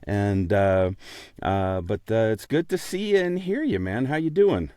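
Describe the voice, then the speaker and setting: A man's voice: lowish voice, expressive, measured pace, dark tone, clean tone, no echo. A man co-hosting a podcast in casual chat, rambling and joking, often repeating himself as he goes. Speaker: podcast co-host.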